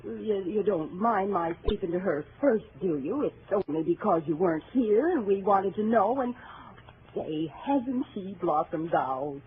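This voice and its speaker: A woman's voice: medium pitch, expressive, unhurried, lightly acted. A woman plays a chatty, meddling housewife from next door in an old radio drama. She rambles and hesitates in a gossipy way, her voice swooping up and down. The sound is thin and tinny, like an old broadcast. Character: chatty, nosy married neighbour woman. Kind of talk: vintage radio drama acting. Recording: tinny old radio recording, faint hiss.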